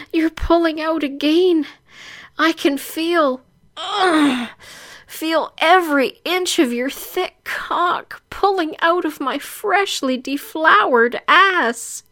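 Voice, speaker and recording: Woman acting overwrought adult superheroine, high and intense. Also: fairly bright tone, heavily acted. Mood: pained, protesting. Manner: breathy moaning, crying out, gasped exclamations. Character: bound comic-book superheroine, adult Amazon woman, loud and overwrought. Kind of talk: erotic audio story character acting.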